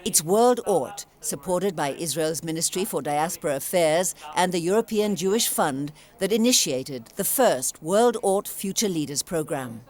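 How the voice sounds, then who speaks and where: A woman reporter reading scripted news narration in a measured, even way. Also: lowish voice.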